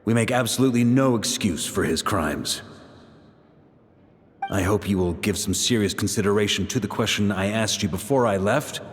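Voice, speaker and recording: Guy doing a low earnest formal knight leader, anime game, big echoey reverb, music behind. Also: normal speed, lightly acted. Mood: solemn apology. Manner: formal, solemn. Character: dignified, earnest older knight grand master. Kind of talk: anime video game voice acting. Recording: long reverberant echo, like a flashback.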